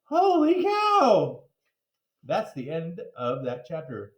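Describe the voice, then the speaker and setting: Very animated high-voiced man reading a storybook. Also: reverberant. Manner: theatrical, playful delivery with emphatic drawn-out words. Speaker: teacher reading aloud to a class. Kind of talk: animated children's storybook read-aloud.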